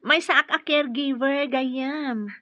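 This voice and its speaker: Polite, high-voiced female caregiver. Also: steady tone, slow pace, sharp tone, no room sound, highs cut off, full character voice. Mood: hesitant. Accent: Ilocano Filipino. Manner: polite, earnest. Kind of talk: Filipino radio drama acting.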